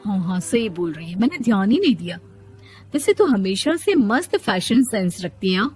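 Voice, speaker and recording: A woman's voice: medium pitch, big pitch swings, normal speed, darkish tone, breathy edge, faint music behind. Woman acting a chatty young female friend. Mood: friendly. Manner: chummy, casual. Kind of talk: animated moral story voice acting.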